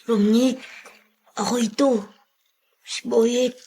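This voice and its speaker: A woman's voice: on the high side, steady tone, slow, mellow, husky, full character voice. A woman playing an earnest, devoted little boy in a radio drama, with a childlike, pleading manner. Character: earnest, devoted little boy comforting his sick playmate.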